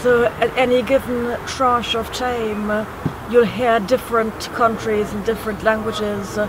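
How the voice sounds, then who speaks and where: A poet from Trinidad in reflective conversation, speaking in a measured, thoughtful, erudite way outdoors by a city waterfront. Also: male voice, high voice, little pitch movement. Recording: outdoor recording with traffic noise.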